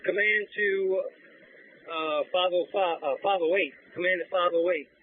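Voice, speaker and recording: A man working as a fire command officer, giving halting instructions over two-way radio. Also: sits high, fairly even intonation, slight background noise. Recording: phone-like fire scanner radio audio.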